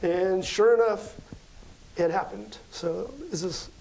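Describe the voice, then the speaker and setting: A man's voice: sits high, takes it slowly, darkish tone, poor audio. An American man chatting as an interview guest, explaining things in a casual, conversational way. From Las Vegas, United States. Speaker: guest astrology card reader.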